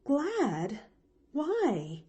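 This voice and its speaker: Lady doing a flustered country maid in a storybook, kindly and fretful, soft-toned voice. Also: a little high, lively intonation, slow, pitched above the performer's own voice. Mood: puzzled. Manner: rustic, fretful, exclamatory, stammering. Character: kindly, flustered young servant woman with folksy speech. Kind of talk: audiobook character voice.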